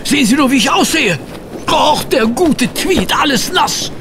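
An actor playing an excitable, polite gentleman sidekick in a German radio play, often puzzled and exclamatory. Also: extremely high pitch, measured pace, gravelly, noisy background, heavily acted. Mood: alarmed, flustered. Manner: flustered, hesitant, exclamatory.

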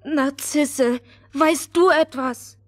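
A woman's voice: high-pitched, extremely slow, no room sound. A woman playing an earnest, searching little girl in a fairy-tale audio drama. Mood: questioning.

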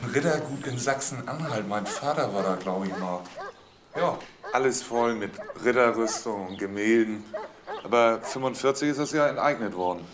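A man's voice: mid-range voice, moderate pace, crowd noise, natural voice. A man playing a gossipy, scornful villager in a crime drama, talking in a wry, colloquial way. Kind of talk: German crime drama acting.

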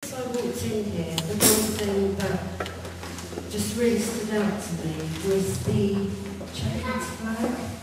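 A woman's voice: medium pitch, takes it slowly. A middle-aged woman sharing a spiritual reflection into a handheld mic, earnest and heartfelt. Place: large church hall indoors. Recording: echoey hall audio from far off, noticeable hiss.

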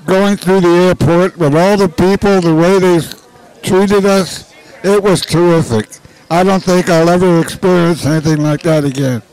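A man's voice: sits high, fairly even intonation, normal speed, a little mellow, clean tone, rough recording. A man in his nineties and a veteran, speaking in an enthusiastic, grateful way.

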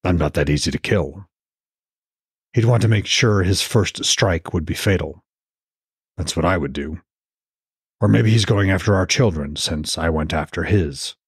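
A man voicing a weary, brooding Norse god in a fantasy audiobook, speaking in a grave, regretful, measured way. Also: sits low, breathy edge, no room sound, clean studio sound, pitched below the performer's own voice, full character voice. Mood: grave, guarded.

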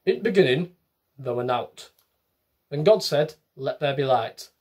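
A man's voice: mid-range voice, lively intonation, measured pace, slightly rough. A man voicing a blunt, bossy old Yorkshire god with a put-on Yorkshire accent, in a small room. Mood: solemn. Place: small bedroom with bookshelves.

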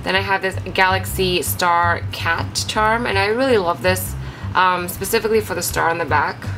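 A young woman talks in a chatty, rambling way, her voice stuffy and congested from a cold. Her voice sits a little low, and clear background music plays under her voice-over. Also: normal speed, low-quality recording. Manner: chatty, apologetic, with frequent um and like. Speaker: craft channel host. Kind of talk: casual show-and-tell vlog.

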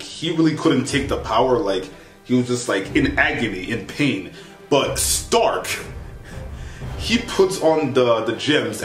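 Young guy rambling casually to camera, animated, echoey bedroom, music playing behind him. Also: medium pitch. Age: young adult. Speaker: vlogger reviewing a film. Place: small indoor bedroom with bunk beds.

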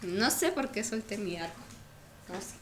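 A woman's voice: medium pitch, lively intonation, normal speed, slight background noise. A young woman chatting casually and exclaiming while playing a video game. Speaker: gamer. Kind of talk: casual chat while gaming.

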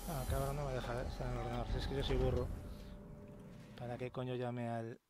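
Sweary Spanish gamer, music behind. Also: male voice, mid-range voice, flat delivery, brisk. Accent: Spain. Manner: sweary, muttering to himself. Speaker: gamer narrating gameplay. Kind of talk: casual gameplay commentary. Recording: voice mixed with game music. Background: game soundtrack and effects.

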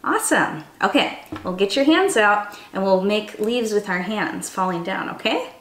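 A young woman, a children's storytime teacher, reading a picture book aloud in an animated, sing-song way, speaking straight to young listeners. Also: mid-range voice, moderate pace. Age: young adult. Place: small room indoors. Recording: roomy indoor echo.

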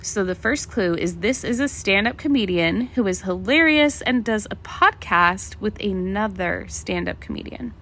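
A woman's voice: medium pitch, animated, moderate pace, few pauses, fairly bright tone. A woman hosting a podcast solo, talking casually in an enthusiastic, chatty manner. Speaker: podcast host.

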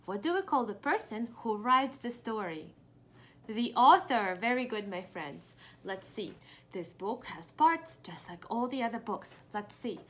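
A young woman teaching preschoolers through a picture-book read-aloud, asking questions expressively and pausing for answers. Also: on the high side, expressive, a bit bright. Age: young adult. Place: small home room indoors.

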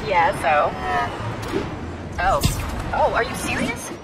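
A woman's voice: mid-range voice, huge pitch swings, takes it slowly, over loud music, over a noisy crowd. An American woman voicing a dry, practical young confidante of a superhero in a video game, wry and matter-of-fact. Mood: wry, surprised.

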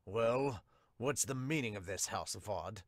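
A man's voice: lowish voice, lively intonation, measured pace, dry recording, clean studio sound, voice pushed down. A man voicing a haughty, irritable king in a manga dub, pompous and demanding. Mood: stern.

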